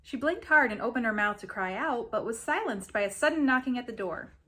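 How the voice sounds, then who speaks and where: A woman reading a story aloud as an expressive narrator. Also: on the high side, brisk. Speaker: reader of a story.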